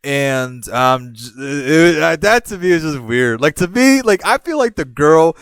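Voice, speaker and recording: A young man from Puerto Rico tells a long story in a loose, rambling way, stopping and restarting and slipping into quoted voices. His voice sits a bit high for a man. It is recorded close to the mic, with a dry sound. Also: very animated, unhurried, a bit bright, clean tone, dry recording. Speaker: podcast host. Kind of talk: casual storytelling.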